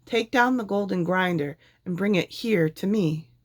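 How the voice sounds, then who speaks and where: A huge, kindly storybook dragon, voiced by a woman reading aloud. He speaks gently and deliberately, giving careful instructions in measured phrases. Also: mid-range voice, close to an everyday voice. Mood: gentle, instructing. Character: kindly gigantic male dragon.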